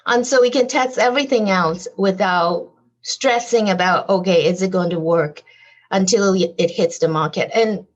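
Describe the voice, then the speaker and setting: A woman's voice: medium pitch, normal speed, dull audio. A woman guest on a podcast interview, talking animatedly about her design business with frequent fillers and light laughs. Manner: laughs lightly as she talks.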